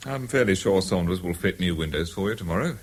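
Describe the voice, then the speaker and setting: An actor playing a courteous, methodical English police sergeant in a 1970s British radio drama, with a husky voice and measured official manner. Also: male voice, deep voice, animated, full character voice. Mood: official, calm.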